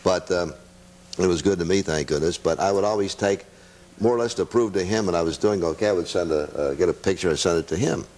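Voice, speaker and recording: A man's voice: sits low, quick pace, a little mellow, poor audio. An older man chatting casually as a guest, telling anecdotes in a folksy, self-deprecating way. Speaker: interview guest, veteran radio broadcaster.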